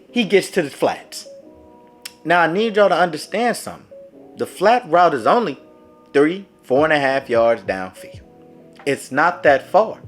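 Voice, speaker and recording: A man telling a dramatic sports story in a casual storytime vlog, talking straight to his viewers. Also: high voice. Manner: dramatic, animated, speaks to viewers directly. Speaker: storyteller vlogger. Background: faint background music.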